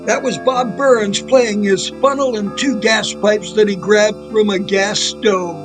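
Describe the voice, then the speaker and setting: A man's voice: high-pitched, steady tone, measured pace, over music. A man hosting and narrating a scripted trivia segment in a storytelling style. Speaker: producer and host.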